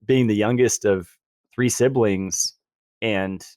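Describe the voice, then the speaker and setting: A man hosting a podcast interview, chatting in a relaxed way and laughing between remarks. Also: fairly low pitch, slow, no echo. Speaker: podcast host. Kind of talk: casual interview chat.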